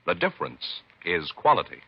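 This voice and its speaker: A man's voice: sits low, huge pitch swings, fairly quick, very noisy. A man announcing on 1950s radio, reading scripted copy with emphatic, punchy phrasing. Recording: dull 1950s radio recording, music.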